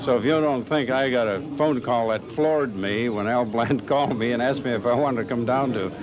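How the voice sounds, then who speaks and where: An older man tells stories from his radio career in an interview. His pitch is medium, and a restaurant crowd is clearly heard behind him. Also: normal speed, low-quality recording. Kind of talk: anecdotal interview talk. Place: busy restaurant. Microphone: handheld interview microphone.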